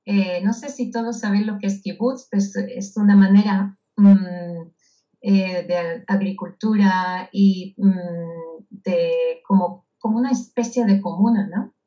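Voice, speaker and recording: Woman from Israel, slow deliberate talk, dark mellow tone, hesitant with fillers, dull lo-fi recording. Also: mid-range voice, fairly even intonation. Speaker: guest. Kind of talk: casual interview talk. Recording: dull lo-fi audio.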